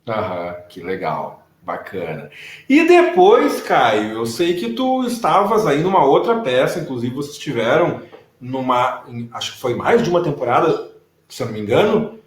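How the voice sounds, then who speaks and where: A man hosting a live interview, lively and encouraging. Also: medium pitch, moderate pace. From southern Brazil. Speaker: host. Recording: echoey room.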